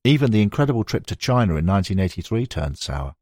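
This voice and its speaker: A man's voice: on the low side, sing-song, fast talker, darkish tone, no echo, studio quality. A man reading scripted narration as an audiobook narrator, moving between prose and quoted recollections.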